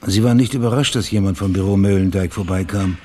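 An actor playing a wry, persistent private investigator in a radio crime drama, with a dry, understated manner. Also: male voice, low voice, quick pace, a little mellow, a little hiss or hum, close to an everyday voice. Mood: dry, probing.

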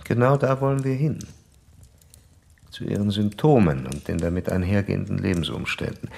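An actor in a German radio drama playing a formal, probing psychoanalyst of the early 1900s, measured and clinical. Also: male voice, fairly low pitch, creaky, a little mellow. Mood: clinical, instructing.

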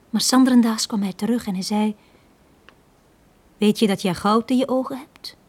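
A Flemish actress playing a lonely, downtrodden young bar girl in a radio drama, speaking in a hushed, halting, confiding way. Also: medium pitch. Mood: wistful, hurt. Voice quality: breathy, hushed.

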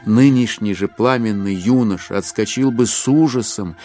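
A man's voice: lowish voice, normal speed, dark tone. A man narrating classic literature in a dramatic style for an audio play. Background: music under the narration.

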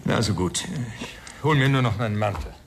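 A man's voice: fairly low pitch, a little fast, close to an everyday voice. An actor playing a courteous, uneasy bereaved father in a radio crime drama, polite and a little hesitant. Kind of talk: 1990 German radio crime drama acting. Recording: radio play with background noise.

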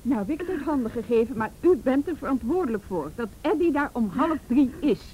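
A brisk woman official in a radio drama, firm and admonishing. Her voice is fairly high and very animated, over faint recording noise. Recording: noisy old radio play recording.

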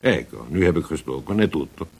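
A worldly Italian poet fallen from favour, in a radio play. His very deep voice is gravelly and swings widely in pitch. He speaks with an Italian accent, theatrical and halting, dropping in Italian words as he schemes. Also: expressive, darkish tone, full character voice. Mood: expansive, proud. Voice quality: gravelly, husky. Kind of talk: radio drama acting.

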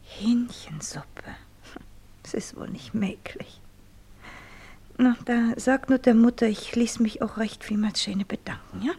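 A bedridden, fretful wife in a radio play, with a high, husky voice that slips into breathy whispers. She laments in a plaintive, morbid way with a Silesian accent. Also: dark tone. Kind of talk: naturalistic German radio drama acting.

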